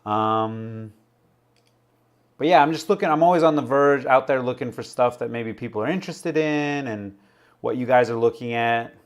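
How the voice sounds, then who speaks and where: Man, livestream host, casual rambling chat, slightly raspy, average pitch. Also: moderate pace. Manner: rambling, laughs often, reads viewer chat.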